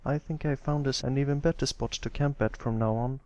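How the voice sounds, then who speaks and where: A man's voice: mid-range voice, fairly even intonation, fairly quick, a little mellow, no room sound, lo-fi sound, voice pushed up, close to an everyday voice. A man voicing a confident, kindly young big-brother pony in an easygoing, reassuring way.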